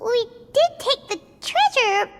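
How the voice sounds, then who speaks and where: A woman voicing a small childlike fairy companion in a video game, chirpy, squeaky and easily indignant. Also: sing-song, slow, full character voice. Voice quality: squeaky, cartoonish.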